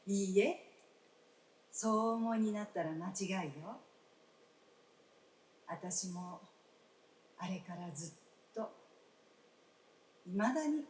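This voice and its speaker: A woman playing a frank, gentle wife in a radio drama, speaking in polite, old-fashioned feminine phrasing. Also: on the low side. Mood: frank, reproving. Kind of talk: staged radio drama acting. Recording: roomy stage, distant mic, faint noise.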